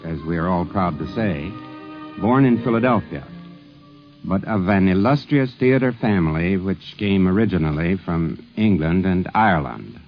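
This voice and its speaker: Very deep male vintage radio narrator over music. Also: animated, moderate pace. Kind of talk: scripted narration, 1950s radio documentary. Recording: muffled vintage radio recording, music behind.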